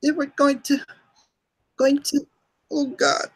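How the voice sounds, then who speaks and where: A man's voice: very high voice, flat delivery, slow, pitched above the performer's own voice. A man voicing a panicky, pleading young character in a hammy comic dramatization, with whiny over-dramatic delivery. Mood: frightened pleading.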